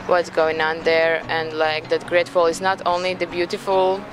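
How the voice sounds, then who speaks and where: A woman's voice: deep voice, completely flat delivery, normal speed, background noise. A woman from Latvia giving casual interview answers about volunteer work, often saying "like". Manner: casual, uses "like".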